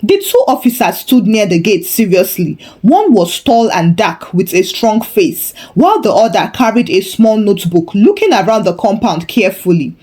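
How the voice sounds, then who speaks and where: Female folktale narrator, highly animated, theatrical and playful exaggeration. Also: moderate pace, hardly any pauses, breathy edge. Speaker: storyteller. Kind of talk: dramatic scripted folktale narration.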